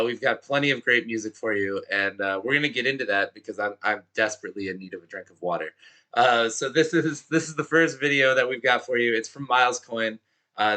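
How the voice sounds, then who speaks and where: A man with a hoarse, worn-out voice hosting a live music show in a chatty, pumped-up way. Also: fairly low pitch, pushed and bright. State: hoarse, has lost his voice. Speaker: show host.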